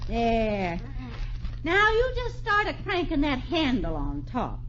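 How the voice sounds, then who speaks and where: An actress playing a folksy, bossy 1840s housewife and inventor in an old radio drama, old-fashioned in manner. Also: female voice, high voice, huge pitch swings, slow, fairly bright tone, a little hiss or hum, heavily acted. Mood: motherly. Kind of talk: 1940s radio acting. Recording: dull old radio broadcast.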